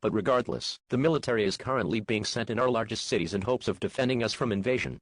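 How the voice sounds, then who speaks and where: A man's voice: fairly low pitch, quick pace, slightly rough, no room sound. A man playing a stern official announcer, reading a scripted emergency alert in a formal, instructing way. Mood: stern, urgent.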